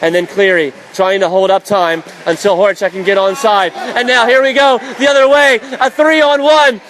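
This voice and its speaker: A man calling live ice hockey play-by-play for radio in an excitable, vivid, dramatic manner. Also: sits high, normal speed. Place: indoor ice rink broadcast position. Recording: loud crowd and rink noise behind. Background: hockey crowd and rink ambience.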